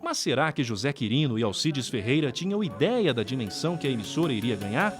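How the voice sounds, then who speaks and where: Expressive Brazilian male documentary narrator over music. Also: medium pitch, fast talker.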